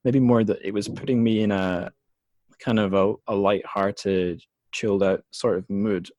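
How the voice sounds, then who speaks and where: A man with a very low voice hosts a casual podcast chat, musing in a halting way and often starting his sentences over. The sound is close and dry, with no room around it. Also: fairly even intonation, darkish tone, no echo. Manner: thoughtful, hesitant, many restarts and fillers. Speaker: podcast host.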